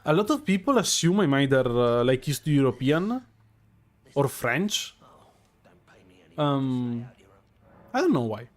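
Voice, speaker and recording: A man with a strong Italian accent, a streamer musing out loud over live gameplay with many fillers and restarts. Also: medium pitch, very animated, takes it slowly, pauses often. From Italy.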